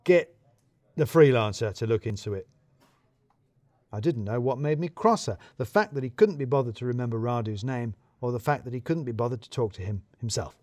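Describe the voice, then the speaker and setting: A man narrating a scripted first-person story in a wry, deadpan manner. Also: mid-range voice, quick pace, hardly any pauses, no echo, clean studio sound.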